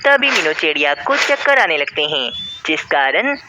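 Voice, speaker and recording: A woman's voice: low voice, sing-song, moderate pace, background noise. A woman narrating a scripted children's cartoon story as its storyteller.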